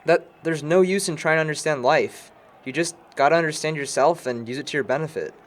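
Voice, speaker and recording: A man's voice: fairly high pitch, a little fast, natural voice. A man acting a bookish, dry-witted young man in an amateur audio drama, talking in a formal, wordy way. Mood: reflective. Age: young adult.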